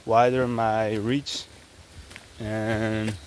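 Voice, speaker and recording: Young man, slow casual vlog chat, outdoors on a trail, faint countryside sound behind. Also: fairly low pitch, level tone, slight background noise. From Belgium. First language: Dutch. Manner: chatty and unhurried, with many fillers and restarts. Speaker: vlogger. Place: outdoors on a rural hiking trail. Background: faint outdoor ambience.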